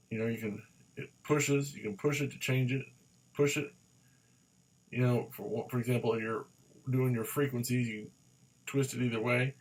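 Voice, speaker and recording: Male product reviewer, mid-pitched, casual and unscripted, halting delivery with repeated phrases. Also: rough recording. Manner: halting, rambling, repeats himself. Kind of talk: casual hands-on gadget review.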